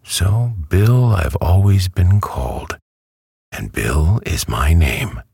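A man reading a storybook who voices a prim, easily offended female yellow hen. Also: low-pitched, big pitch swings, takes it slowly, gravelly, husky, dry recording, studio quality, pitched above the performer's own voice, full character voice. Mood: indignant.

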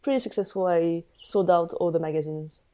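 Young woman, from France, low voice, warm tone, hesitant, casual interview. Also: brisk. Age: 20s. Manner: hesitant, many fillers. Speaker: magazine editor, guest.

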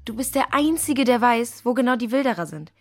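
A woman's voice: a little high, lively intonation, brisk, faint music behind. A woman playing a direct, persuasive girl from an adventure crew in a children's audio drama, frank and pressing.

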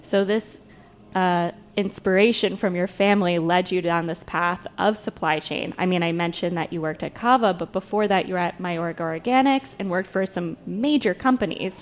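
A woman's voice: lowish voice, moderate pace. A woman hosts a podcast interview with an upbeat, encouraging manner. She asks questions and keeps the conversation going with frequent small affirmations. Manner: enthusiastic, encouraging, frequent backchannels. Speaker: podcast host.

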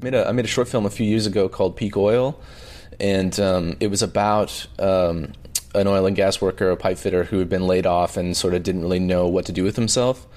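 A man from Alberta with a Canadian accent, talking reflectively as an interview guest, halting as he searches for words. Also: deep voice, steady tone, a little mellow. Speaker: documentary filmmaker, interview guest.

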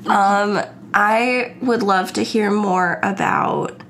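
A woman's voice: mid-range voice, extremely slow, vocal fry, a bit bright, a little hiss or hum. A young woman hosting an interview podcast, gushing and effusive with her guest. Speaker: podcast host.

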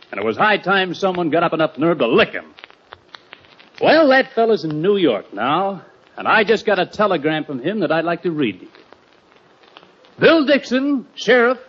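A man's voice: high voice, slightly rough, full character voice. An actor playing a folksy, newly elected male sheriff in an old radio western, giving a speech. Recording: dull old radio recording.

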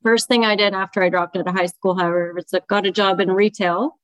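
A woman's voice: mid-range voice, steady tone, fast, dry recording, dull audio. A woman in her thirties, a guest on an interview podcast, telling her story candidly in a conversational way. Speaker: podcast guest, child advocate. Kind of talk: interview answers.

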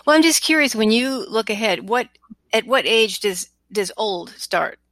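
A woman's voice: a little high, lively intonation, measured pace, a bit bright, no echo. A middle-aged or older woman hosting a podcast, asking questions conversationally and rephrasing them as she goes. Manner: tentative, rephrases questions mid-sentence. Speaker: podcast host, interviewer. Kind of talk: conversational interviewing.

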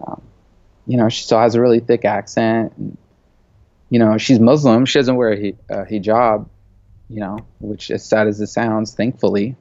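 A man in his thirties, raised in the US, answers interview questions in an easygoing, rambling way, with frequent fillers. His voice sits a bit low. The recording is dry and slightly dull, as from a small treated studio. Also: darkish tone, no room sound. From born in Saudi Arabia, raised in the US. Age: 30s. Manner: loose, filler-heavy talk with many "you know"s and "like"s. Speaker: interview guest, media creative. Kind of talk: casual conversational interview.

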